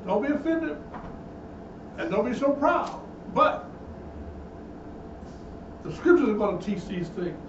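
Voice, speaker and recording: A man's voice: sits high, sing-song, slow pace, pauses often. A man preaching a Bible teaching sermon as a minister, emphatic and repetitive, building in intensity. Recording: echoey, distant, dull room sound with noise.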